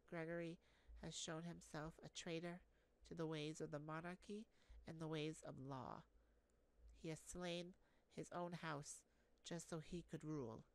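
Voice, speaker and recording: A woman voicing an earnest, formal, slightly hesitant princess in a fantasy audio roleplay. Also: low voice. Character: earnest, eager princess on a quest, deferential. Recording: faint background noise.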